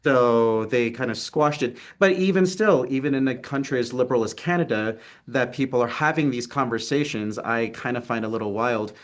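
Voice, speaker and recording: A man's voice: mid-range voice, normal speed, few pauses. A young man from Texas, a film writer and actor, talking earnestly over a video call in a casual interview, with lots of ums and restarts. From Texas, United States. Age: young adult. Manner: earnest, hesitant, many fillers. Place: city apartment with street traffic outside.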